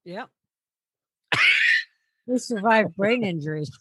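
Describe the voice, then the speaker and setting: A woman's voice: medium pitch, lively intonation, slow pace, slightly rough, no echo. A middle-aged woman co-hosting a casual podcast, telling rambling stories and teasing her co-host. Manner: chatty, rambling storyteller, teasing. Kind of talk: casual chat.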